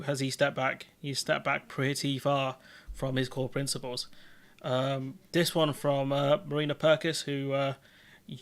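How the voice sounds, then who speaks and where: A man's voice: mid-range voice, vocal fry, fairly bright tone. A man hosting a political livestream, ranting with exasperated sarcasm and frequent restarts. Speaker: livestream host.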